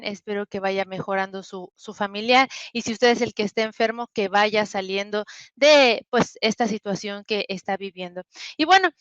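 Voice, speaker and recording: A woman hosts a casual web show, chatting in a friendly, upbeat way with plenty of fillers. Her voice is smooth and resonant. The sound is dry and slightly dull, like a low-grade mic. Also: fairly bright tone, dry recording. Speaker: show host. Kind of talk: casual online talk show.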